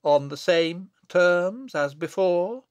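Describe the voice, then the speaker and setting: A menacing wizard, acted by a male narrator speaks slowly and forcefully. His archaic phrasing is deliberate and sinister, in the style of a gothic storybook. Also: mid-range voice, very animated, no echo, highs cut off. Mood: menacing, significant.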